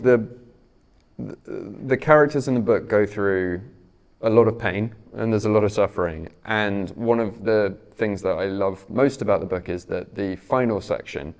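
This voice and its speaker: Man, interviewer, low, gravelly, measured, searching for words. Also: darkish tone. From Britain. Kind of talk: onstage author interview.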